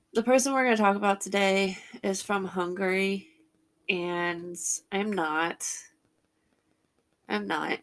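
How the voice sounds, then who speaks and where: A woman hosts her own podcast, her voice stuffy from congestion. She talks slowly and nervously, filling gaps with ums and stretching her vowels. Her tone carries a gravelly vocal fry. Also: mid-range voice. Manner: nervous, many fillers and drawn-out vowels; laughs between sentences. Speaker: podcast host. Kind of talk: casual podcast chat.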